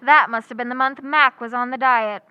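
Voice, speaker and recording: A woman playing a wry, quick-witted schoolteacher in old-time radio comedy style, with dry, sardonic asides that stay polite. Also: on the high side, steady tone, smooth, no room sound, highs cut off, lightly acted.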